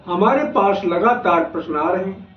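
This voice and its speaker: A man hosting as an astrologer, giving devotional remedy instructions in a deliberate, phrase-by-phrase way. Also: sits high. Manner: deliberate, phrase-by-phrase, repetitive listing. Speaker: astrologer host. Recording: echoey, noisy, dull lo-fi, distant mic.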